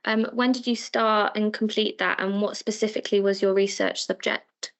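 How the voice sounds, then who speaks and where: A woman's voice: medium pitch, fairly even intonation, normal speed. A woman interviewer who asks polite questions and gives short affirmations. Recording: dull lo-fi audio.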